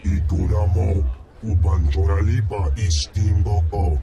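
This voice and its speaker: Booming alien slug crime lord. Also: male voice, measured pace, background noise. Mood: commanding. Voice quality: deep booming alien gibberish. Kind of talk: video game cutscene. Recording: music behind.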